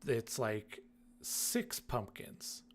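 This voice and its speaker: A man's voice: mid-range voice, big pitch swings, very slow. A man co-hosting a gaming podcast, explaining things casually. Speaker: podcast co-host.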